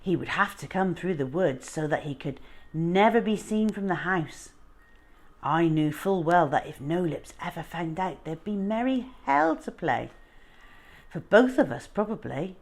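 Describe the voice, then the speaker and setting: A woman hosting a children's story time, narrating expressively with deliberate, dramatic phrasing. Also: on the low side, expressive.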